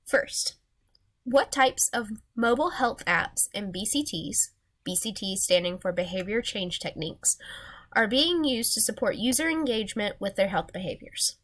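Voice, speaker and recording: A woman's voice: medium pitch, animated, moderate pace, a bit bright. A young woman with a nasal voice, just over a sinus infection, earnestly giving a recorded class presentation from her slides. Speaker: student presenter.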